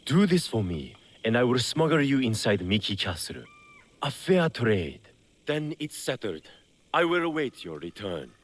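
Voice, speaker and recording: An actor playing a smooth, self-serving male smuggler boss in feudal Japan, suave and mock-courteous, in a video game. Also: medium pitch, big pitch swings, measured pace. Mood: suave, calculating. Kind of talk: scripted video game cutscene acting.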